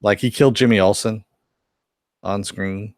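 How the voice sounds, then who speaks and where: Punning man, low dry voice. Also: steady tone, normal speed, a little mellow, no echo. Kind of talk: casual chat.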